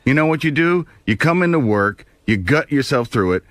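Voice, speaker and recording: A man's voice: mid-range voice, animated, normal speed, no echo. A man giving casual talk radio commentary in a blunt, incredulous manner. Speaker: radio show regular.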